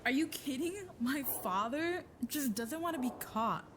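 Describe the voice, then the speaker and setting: A woman's voice: sits high, animated, a little slow, noisy background. A woman playing a guarded, bitter secret agent in an audio drama, terse and sardonic. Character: guarded, bitter, curt female covert agent.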